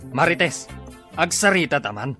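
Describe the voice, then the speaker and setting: Slow, drawling, tipsy village drinker. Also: female voice, sits low, animated, over loud music. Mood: mocking. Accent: Ilocano. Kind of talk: comedic radio drama acting.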